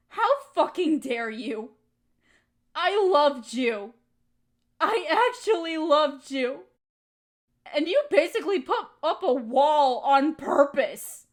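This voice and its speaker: High pitched young woman voice actress doing emotional audio roleplay, wounded, accusing her friend she loves. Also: full character voice. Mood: angry, hurt. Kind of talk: dramatic audio roleplay monologue.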